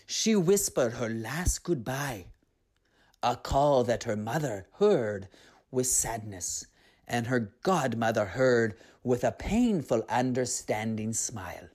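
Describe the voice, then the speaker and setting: A storyteller narrating and reflecting on a tale in an earnest, unhurried, reflective way. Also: mid-range voice, sing-song, a little mellow.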